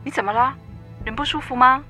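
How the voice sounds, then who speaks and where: An actress playing a worried, caring wife in a radio drama. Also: female voice, sits high, fairly quick, bright, forceful tone, faint music behind. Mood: anxious.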